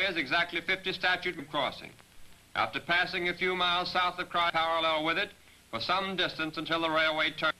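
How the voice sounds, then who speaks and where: Bright, forceful 1940s military briefing narrator, male. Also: a little high, low-quality recording. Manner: measured, directive, addressing aircrews. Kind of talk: scripted training film narration, instructional briefing. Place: voice-over recording for a 1943 film. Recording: 1940s training film soundtrack, radio-like.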